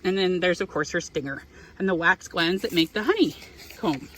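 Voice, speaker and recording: A woman's voice: fairly low pitch, normal speed, fairly bright tone, low-quality recording. A woman reading a picture book aloud to young children, expressively, with explanatory asides. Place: outdoors in an apiary.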